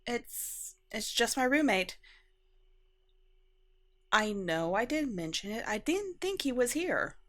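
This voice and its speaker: A woman playing a flustered but caring young vampire in a conversational ASMR roleplay, halting and defensive with sarcastic asides. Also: fairly high pitch, sing-song, a bit bright, exaggerated voice.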